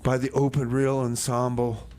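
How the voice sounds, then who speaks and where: A man's voice: mid-range voice, monotone, normal speed, a little mellow, natural voice. A man playing a rambling, easily sidetracked music radio host in casual comic banter. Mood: amused, chatty.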